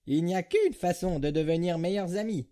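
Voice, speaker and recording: A man's voice: high-pitched, normal speed, mellow, lightly acted. A man voicing a bombastic, childishly enthusiastic skeleton in a comic dub, with theatrical, declamatory delivery. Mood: enthusiastic, proud.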